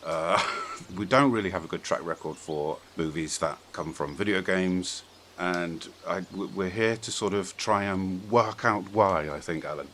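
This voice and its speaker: A man's voice: sits low, a little slow, fairly bright tone. A British man hosting a podcast, chatting casually about films in an informal, blunt way. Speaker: podcast host. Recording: noticeable background noise.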